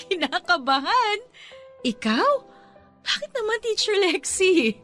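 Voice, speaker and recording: A fond, encouraging woman school head in a radio drama. Her voice swings in an animated, effusive way with a teacherly touch, over clearly audible music. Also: extremely high pitch, slow, full character voice. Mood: amused, affectionate. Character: warm, nostalgic woman school owner, encouraging older colleague. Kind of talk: radio drama dialogue in Taglish.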